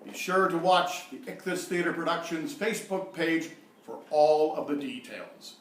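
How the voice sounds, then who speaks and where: A man's voice: on the high side, moderate pace, a bit bright, slight background noise. A man giving a theatre company's closing announcement and thanks. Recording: echoey event hall.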